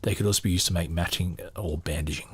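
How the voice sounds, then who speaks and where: A man's voice: sits low, fairly even intonation, quick pace, breathy edge, dry recording. A man hosting a book-reading podcast, moving between reading aloud and informal, thinking-aloud commentary. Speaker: podcast host and book reader.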